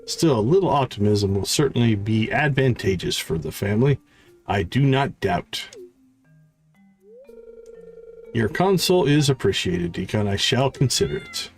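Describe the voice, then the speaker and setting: Man reading as a prim, lively well-bred lady florist. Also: mid-range voice, moderate pace, soft background music. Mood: politely proper. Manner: formal, old-fashioned, haughty wording.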